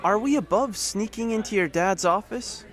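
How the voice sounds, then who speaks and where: A woman's voice: mid-range voice, animated, moderate pace, a faint crowd, lightly acted. A woman playing an easygoing, reassuring, easily smitten space biker recruit in an audio drama. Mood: casual. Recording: faint music under the voice.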